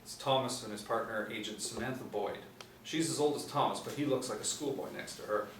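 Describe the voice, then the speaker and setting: A man's voice: medium pitch, brisk. Male narrator, 40s, reading script directions; roomy, distant mic with faint noise. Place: small indoor room. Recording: echoey room, distant mic, background noise.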